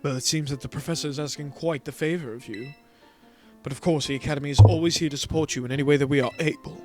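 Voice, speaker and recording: A man's voice: on the high side, steady tone, darkish tone, soft background music, natural voice. A man reading aloud the lines of a courteous, formal male academy director in a video game. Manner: courteous and measured.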